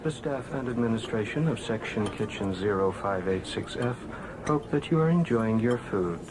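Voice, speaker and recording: An actor playing an impersonal automated canteen announcer in a radio drama, reading notices formally. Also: male voice, on the low side, animated, moderate pace, noisy background, close to an everyday voice. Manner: formal, official.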